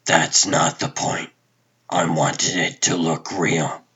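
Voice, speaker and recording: A man voicing a demanding, irritable television producer in a comic book dub, curt and deadpan. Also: rumbling low, slow pace, breathy edge, full character voice. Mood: irritated, insistent.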